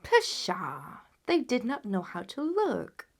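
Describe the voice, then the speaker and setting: An assured male detective from a classic storybook, voiced by a woman narrator. He sounds analytical and instructive, laying things out briskly and with full confidence. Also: fairly high pitch, huge pitch swings, husky, pitched above the performer's own voice, full character voice.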